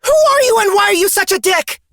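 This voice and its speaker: A man's voice: very high-pitched, animated, fairly quick, vocal fry, sharp tone, no room sound, clean studio sound, pitched above the performer's own voice, full character voice. A man voicing a frustrated, determined, sarcastic young woman gamer in a fantasy audiobook. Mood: exasperated. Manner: grunting, exasperated, self-talking. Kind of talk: fantasy audiobook character acting.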